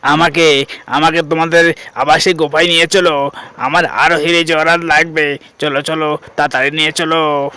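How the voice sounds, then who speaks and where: A man's voice: on the high side, flat delivery, normal speed, bright, forceful tone, clear, resonant tone, no echo. A man voicing a poor, greedy village husband and bird catcher in a cartoon story, eager and emphatic. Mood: greedy, impatient.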